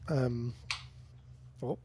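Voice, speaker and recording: A man's voice: mid-range voice, fairly even intonation, extremely slow, warm tone, breathy edge. A man with a stuffed-up nose co-hosting a casual podcast, rambling and swearing freely. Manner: rambling, swears a lot, mock-angry joking. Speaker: podcast co-host and record store owner. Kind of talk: casual chat. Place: room at home. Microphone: desk microphone on a mixer.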